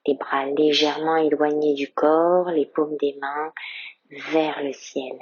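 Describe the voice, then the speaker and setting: Slow soft-spoken woman guiding meditation. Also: deep voice, level tone, husky, highs cut off. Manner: slow, soft-spoken guidance with whispered phrases. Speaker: yoga teacher. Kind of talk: guided yoga nidra meditation.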